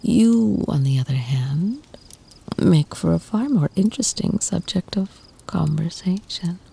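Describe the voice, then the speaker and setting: A woman playing an ancient, tender, protective vampire lover in an ASMR roleplay, speaking in a soft, breathy, soothing whisper close to the mic. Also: fairly low pitch, lively intonation, heavily acted. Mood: tender, teasing. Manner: slow, soothing, intimate murmur with lingering pauses.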